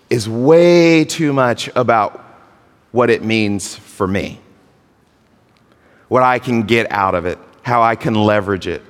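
A man preaching a sermon as pastor, earnest, with drawn-out phrasing. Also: mid-range voice. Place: church sanctuary. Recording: long hall reverb.

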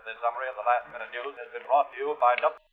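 A man's voice: medium pitch, steady tone, fast, husky, lightly acted. A man playing a news announcer in an old radio drama, reading bulletins in a formal, official manner. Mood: businesslike. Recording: muffled vintage radio broadcast, music behind.

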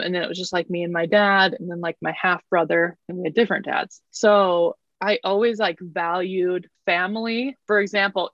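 A woman's voice: lowish voice, gravelly, a bit bright, dry recording, highs cut off. A woman in her thirties from Canada, chatting as an interview guest with a self-deprecating, laughing manner. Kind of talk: casual interview chat.

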